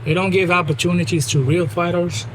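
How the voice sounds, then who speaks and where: A man's voice: high-pitched, fairly even intonation, brisk. A man in his thirties with a Moroccan accent, talking casually as an interview guest in plain, emphatic English. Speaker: guest, professional fighter and trainer. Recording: noisy background.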